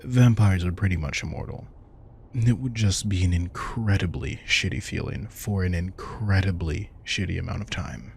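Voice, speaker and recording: A man playing a protective, wry and tender vampire king in an intimate audio roleplay, soft-spoken and casual. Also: low-pitched, normal speed, gravelly, slight background noise. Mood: serious, vulnerable. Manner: soft-spoken, casual, reassuring, occasionally swearing. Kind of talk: intimate audio roleplay, one-sided dialogue.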